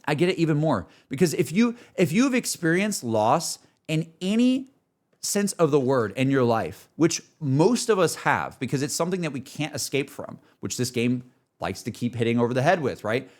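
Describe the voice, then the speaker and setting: A man's voice: fairly high pitch, very animated, normal speed, darkish tone. A man livestreaming, chatting casually about games in an earnest, emphatic, rambling way that often circles back on itself. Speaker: game streamer. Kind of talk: casual livestream chat, emotional game reflection.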